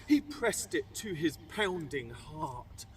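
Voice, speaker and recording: Animated British male storyteller, unhurried. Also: on the high side. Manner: theatrical, emphatic. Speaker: primary school teacher reading aloud. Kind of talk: expressive children's storytelling in rhyming verse. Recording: distant microphone, faint background noise.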